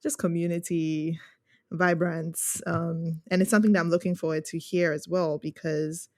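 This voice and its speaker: A woman's voice: fairly low pitch, little pitch movement, moderate pace, darkish tone, no room sound, clean studio sound. A woman from Ghana giving conversational, reflective answers as a podcast guest. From Ghana, grew up in Accra. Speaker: podcast guest, user experience researcher.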